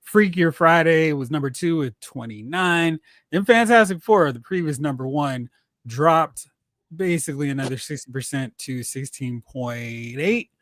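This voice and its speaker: A man's voice: fairly high pitch, expressive, unhurried, a bit bright, dry recording. A man hosting a movie talk show, chatting casually and jokingly about films and recapping news.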